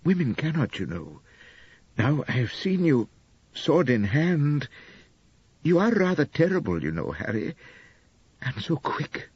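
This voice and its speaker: A man voicing a sweet, silly, adoring young British wife, gushing artlessly in a breathy audiobook performance. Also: mid-range voice, sing-song, measured pace, pauses often, a little mellow, dull audio, pitched above the performer's own voice, exaggerated voice. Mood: admiring, solemn. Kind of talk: audiobook character acting.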